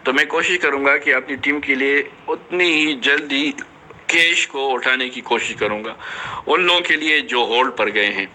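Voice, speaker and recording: Guy doing a voice msg update to his team, really bright punchy voice, explains and repeats himself, bit of background noise. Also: mid-range voice, poor audio. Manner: explanatory, repeats phrases for emphasis. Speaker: team leader of an online investment scheme. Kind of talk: informal voice-message announcement to team members.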